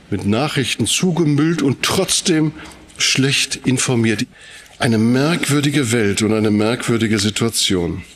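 Man preaching with rhetorical emphasis. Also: mid-range voice. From Sauerland, Germany. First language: German. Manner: rhetorical, emphatic, with repetition and questions. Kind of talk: sermon-like public talk.

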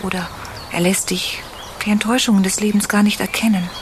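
A woman playing a sad, lonely grown woman in an audio story, talking softly in a half-whisper. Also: mid-range voice, steady tone, brisk, noisy background, natural voice. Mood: sad, wistful. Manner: soft, hushed, half-whispered.

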